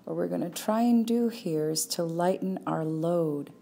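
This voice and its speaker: Low, mellow woman guiding a meditation. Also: creaky. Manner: calm, unhurried, with long pauses. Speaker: meditation teacher. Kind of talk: guided meditation.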